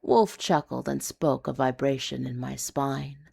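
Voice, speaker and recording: A woman reading a noir urban fantasy story in a hushed, dramatic, deliberate way. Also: extremely low pitch, creaky, husky. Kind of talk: scripted noir confessional storytelling.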